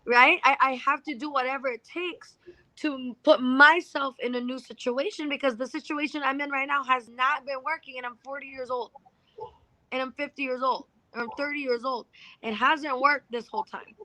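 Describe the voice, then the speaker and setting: Bright, high-voiced woman speaking emphatically. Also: dry recording, rough recording. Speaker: financial services entrepreneur, interview guest. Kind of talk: motivational conversation.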